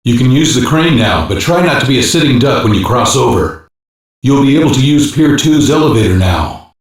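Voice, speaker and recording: Actor as game mission-support hacker, male, practical and instructive, medium pitch, distant echoey sound. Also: lively intonation, brisk, close to an everyday voice. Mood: matter-of-fact. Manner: instructive, matter-of-fact. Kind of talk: English animated sci-fi game voice acting.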